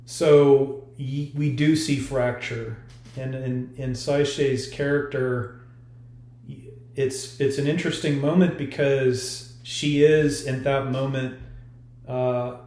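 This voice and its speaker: A man's voice: medium pitch, darkish tone. A man speaking as an author in a podcast interview, explaining his novel in a thoughtful way. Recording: roomy, echoey sound.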